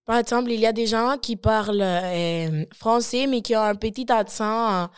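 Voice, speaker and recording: A woman's voice: a little high, normal speed, smooth. Hesitant woman. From Colombia, Caribbean coast. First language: Spanish. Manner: hesitant, searching for words, many fillers. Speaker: discussion participant. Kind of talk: casual conversational answer.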